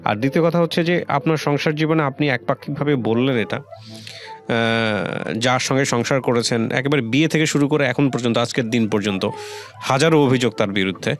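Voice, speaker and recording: A Bangladeshi man hosting a conversational life-story radio interview, speaking in a reflective, deliberate way with some English words mixed in. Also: medium pitch. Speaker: radio show host. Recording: music under the voice. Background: background music.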